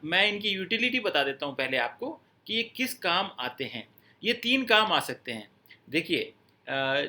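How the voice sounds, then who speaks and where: A man teaching in a slow, unhurried manner, pausing often to let points sink in. His voice is bright and a little high for a man. He explains patiently, sliding between Hindi and English phrases. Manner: slow, patient explaining with drawn-out pauses and fillers. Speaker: French teacher. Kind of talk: online language lesson.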